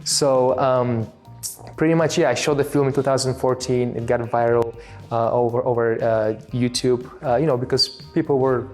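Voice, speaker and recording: A man's voice: medium pitch, steady tone, darkish tone. Bulgarian guy in his 30s, lots of ums and restarts, faint music behind. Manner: halting, many fillers and restarts. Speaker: interview guest, filmmaker. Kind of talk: casual interview talk.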